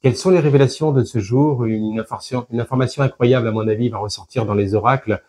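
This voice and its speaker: A man giving an unscripted fortune-telling card reading, explaining to viewers in a hesitant, halting way that often repeats phrases. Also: mid-range voice, steady tone, dark tone. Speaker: fortune teller, tarot card reader.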